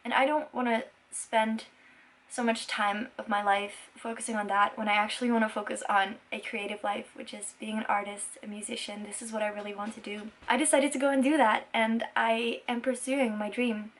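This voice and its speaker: A woman's voice: fairly high pitch, level tone, normal speed, fairly bright tone. A woman in her twenties from Belgium, a native Dutch speaker, chatting casually to viewers in an earnest, enthusiastic and emphatic way. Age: 20s. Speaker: vlogger and musician.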